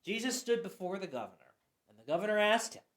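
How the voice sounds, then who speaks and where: A woman giving a dramatic Bible reading, expressive, with occasional stumbles. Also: medium pitch, quick pace. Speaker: scripture reader. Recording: roomy echo.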